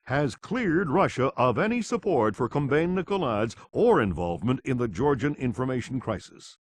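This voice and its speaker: An actor playing a grave television news anchor, reading scripted bulletins in a formal, measured way for a game. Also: male voice, medium pitch, animated, lightly acted.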